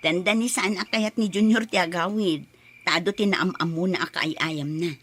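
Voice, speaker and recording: A woman's voice: fairly low pitch, moderate pace, a bit bright, faint music behind. A woman playing a practical, sociable wife and mother in an Ilocano radio drama, homely and conversational, with an Ilocano accent. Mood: contented.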